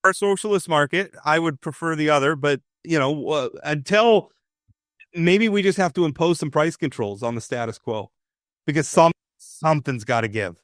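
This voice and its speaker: A man's voice: fairly high pitch, moderate pace, dry recording, clean studio sound. A man co-hosting a political talk show, opinionated and emphatic, often restarting his sentences. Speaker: co-host.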